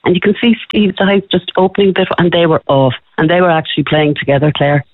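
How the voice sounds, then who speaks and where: A middle-aged Irish woman, a former television presenter, telling a lively story as a radio interview guest. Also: fairly low pitch, fast, no room sound. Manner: breathless, excitable, addresses host by name. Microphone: telephone. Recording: tinny phone line.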